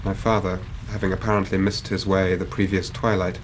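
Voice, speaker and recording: An actor plays an earnest, worried young Victorian Englishman in a radio drama. His voice sits on the low side and his speech is formal and precise, with faint noise behind it. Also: level tone, a little fast, natural voice, low-quality recording. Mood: earnest, grave. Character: anxious, earnest Victorian young man, grieving son. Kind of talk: radio drama acting, narrating his own story.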